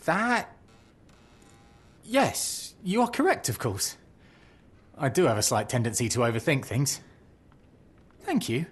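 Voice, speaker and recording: An actor playing a timid, apologetic, lovelorn bookseller in a video game, meek and hesitant with a husky voice. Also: male voice, mid-range voice, wildly swooping pitch, slow, long pauses, full character voice. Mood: self-deprecating. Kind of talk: video game cutscene acting.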